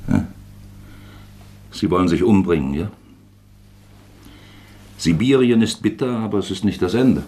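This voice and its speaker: Casting: shrewd, paternal official in radio drama, bottomless gravelly bass, deliberate persuasion with swooping inflection. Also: male voice, animated, warm tone. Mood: fatherly, probing. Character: shrewd, self-admittedly plump male investigating official, paternally probing. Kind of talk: German radio drama acting.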